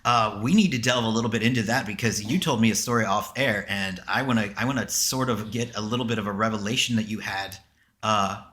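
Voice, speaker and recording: Echoey, roomy sound surrounds an American man's voice. He speaks casually as a podcast co-host, with a bright, forward tone. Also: fairly low pitch, fairly quick. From United States.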